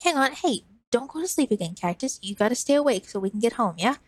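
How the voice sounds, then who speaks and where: A woman's voice: a little high, expressive, fast, husky, no echo, voice pushed up. A woman voicing an eager, trusting young man who talks in earnest, pleading bursts.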